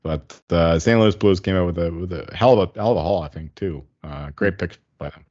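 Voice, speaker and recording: Male co-host, lowish voice, relaxed chuckling delivery, dull audio. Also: brisk. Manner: laid-back, chuckling, trails off. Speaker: podcast co-host. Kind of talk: casual sports chat.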